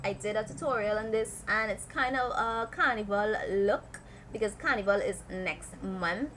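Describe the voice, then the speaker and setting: A young woman from South Trinidad with a Trinidadian accent, chatting casually to camera in a lively way with local slang. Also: on the high side, slight background noise, low-quality recording. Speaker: vlogger.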